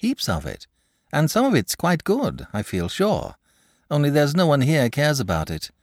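A man's voice: a little high, huge pitch swings, normal speed, no room sound, clean studio sound, voice pushed up. A man voicing a frank, sensible young boy in a storybook, speaking politely and earnestly. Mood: confiding. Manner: matter-of-fact, polite, earnest.

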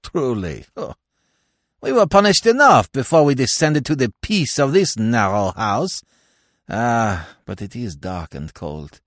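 A man voicing an embittered dead man railing from his grave in harsh, forceful, archaic speech for a storybook. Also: a little high, dry recording, clean studio sound, voice pushed up. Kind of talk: dramatic storybook reading.

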